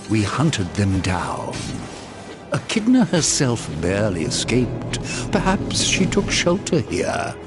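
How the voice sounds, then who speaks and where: Casting: haughty dead royal doorkeeper in a game, lowish, slow, pompous, music behind. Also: male voice, heavily acted. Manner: pompous, theatrical, deliberate. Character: haughty undead chancellor, doorkeeper to a dead king. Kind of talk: video game cutscene acting.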